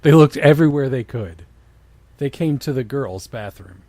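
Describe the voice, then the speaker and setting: A man's voice: mid-range voice, lively intonation, moderate pace, darkish tone, no room sound. A man doing a dramatic comedic reading of fan fiction, breaking into exasperated, mocking asides. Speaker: co-host of a comedy reading show.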